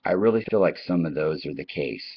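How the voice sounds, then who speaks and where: A man's voice: a bass voice, expressive, fairly quick, breathy edge. A man hosting his own video, talking in a musing, unscripted monologue that wanders and halts with trailing asides. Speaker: video host. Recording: dull lo-fi sound.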